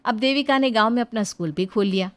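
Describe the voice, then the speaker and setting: A woman's voice: fairly high pitch, quick pace. Woman narrating a scripted story.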